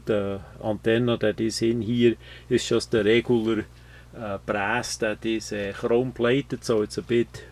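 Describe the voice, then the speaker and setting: A man giving an unscripted, matter-of-fact product review, explaining things in a halting way with frequent pauses. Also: mid-range voice, gravelly, darkish tone. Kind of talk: unscripted gadget review commentary.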